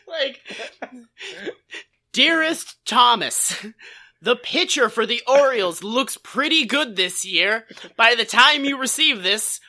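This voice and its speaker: A man's voice: very high voice, animated, slow pace, bright, forceful tone. A man co-hosting a podcast with casual comedic commentary, riffing sarcastically and swearing freely. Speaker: podcast co-host.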